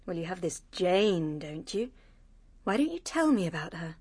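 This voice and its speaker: A woman's voice: fairly low pitch, big pitch swings, normal speed, darkish tone, husky, heavily acted. An actress playing a discontented, jealous young woman in a radio drama, with a British accent and a petulant manner. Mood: jealous, defiant. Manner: petulant, emotional.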